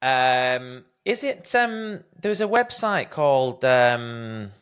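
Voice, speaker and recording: A man's voice: medium pitch, big pitch swings, slow, fairly bright tone, clean tone. A man hosting a podcast interview, telling candid, self-deprecating stories in a casual conversational way. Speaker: podcast host.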